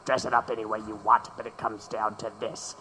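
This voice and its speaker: An actor playing a cranky, contemptuous Gilded Age oil tycoon in an audio drama, with a gravelly, impatient, blustering delivery. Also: male voice, lowish voice, flat delivery, a little fast, a loud crowd, lightly acted. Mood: ruthless, scornful.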